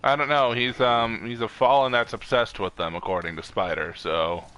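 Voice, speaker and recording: Crisp, bright young man, gaming. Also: mid-range voice, fairly even intonation, moderate pace. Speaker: gaming streamer. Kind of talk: casual gameplay chat.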